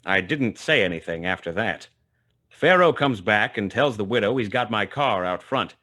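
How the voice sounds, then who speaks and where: An actor playing a wry, laconic private detective who narrates a noir radio play. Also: male voice, lowish voice, lively intonation, normal speed, bright, forceful tone. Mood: wry, tense narration. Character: hard-boiled male private detective narrating his own story.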